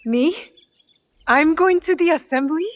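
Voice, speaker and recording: Young female ninja, higher register, earnest, eager, determined, concise lines, video game drama. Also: a little slow. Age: young adult. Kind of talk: video game cutscene acting.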